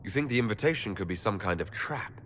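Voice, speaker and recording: A man's voice: fairly low pitch, lively intonation, fast, fairly bright tone, slight background noise. A man playing a frank young elven hero in a video game, speaking in a direct, questioning way. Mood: decisive, concerned.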